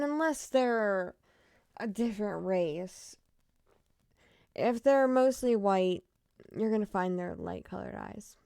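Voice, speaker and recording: A woman in her thirties with a fairly high voice, talking in an unhurried, rambling way. She chats casually to her stream between asides. At times she softens to a gentle murmur. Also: creaky. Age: 30s. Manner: rambling and chatty, with frequent laughs and asides; drops to a soft murmur when talking to her pet. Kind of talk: casual stream chat reacting to a true crime case.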